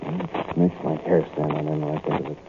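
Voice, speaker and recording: An actor playing a nervous thief who narrates a night break-in in first person, in a 1940s radio drama. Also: male voice, rumbling low, very animated, brisk, vocal fry, heavily acted. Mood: uneasy. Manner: tense, confiding first-person storytelling. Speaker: narrator and lead character. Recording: tinny, noisy old radio recording.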